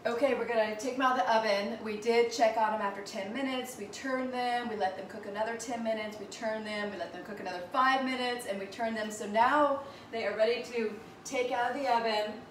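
A woman's voice: fairly high pitch, steady tone, a little fast, hardly any pauses. A woman in her forties hosting a home cooking demonstration, cheerful and instructive, talking with a child helper. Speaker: dietitian hosting a kids' cooking segment. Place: large home kitchen. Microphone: camera across the room. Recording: echoey kitchen, distant mic, background noise.

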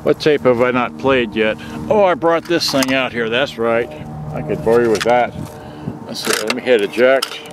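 A man's voice: mid-range voice, normal speed. A man rambling casually and muttering to himself as he shows off an item he is selling, speaking unscripted outdoors. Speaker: seller demonstrating a repaired boombox. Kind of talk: casual unscripted product demo. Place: outdoors in a grassy open yard. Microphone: handheld camera, speaker behind it. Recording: loud wind and outdoor noise.

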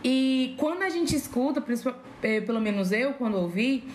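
A woman with a fairly high voice chats on a podcast. She is informal and enthusiastic, with faint noise behind her. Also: expressive, normal speed, a little mellow. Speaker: podcast conversation partner. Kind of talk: casual podcast conversation.

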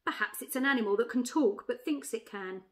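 A bright storybook donkey, voiced by a woman reader. The voice is fairly high and eager to offer an idea. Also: a little fast, close to an everyday voice.

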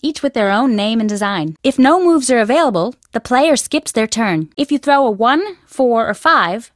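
A woman's voice: on the high side, no room sound, clean studio sound, lightly acted. A woman voicing a bossy, competitive character at a dig site who explains a board game. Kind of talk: video game voice lines.

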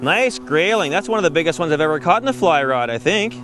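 A middle-aged man hosting a fishing show on location, wading in a river and exclaiming excitedly over his catch. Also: fairly high pitch, fast talker, noisy background. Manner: excited, exclaiming, laughing often. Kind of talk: on-location casual chat.

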